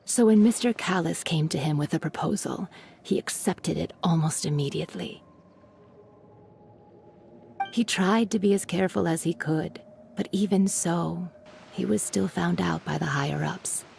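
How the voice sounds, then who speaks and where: A woman voicing a gentle, weary widowed mother in a video game, soft and measured, consoling and reflective. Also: fairly low pitch, vocal fry, slight background noise. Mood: regretful, somber.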